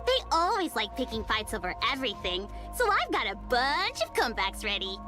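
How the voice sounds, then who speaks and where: A woman voicing a spunky, blunt young woman soldier in a game, talking in a lively, sassy way. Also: on the high side, brisk. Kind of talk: game voice acting. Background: game music.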